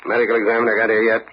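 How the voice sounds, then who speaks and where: An actor playing a steady, businesslike police lieutenant in a vintage radio mystery, laconic and matter-of-fact. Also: male voice, lowish voice, close to an everyday voice. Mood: casual, brisk. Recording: dull, noisy old radio recording.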